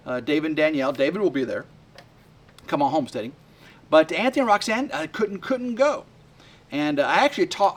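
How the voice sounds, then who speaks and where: Man, mid-fifties, homestead vlogger. Lively, rambling, a bit high. Outdoor delivery. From West Tennessee. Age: 50s. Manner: rambling and excitable, many fillers and restarts. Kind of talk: rambling casual vlog to camera. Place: outdoors by a work shed on a sunny day.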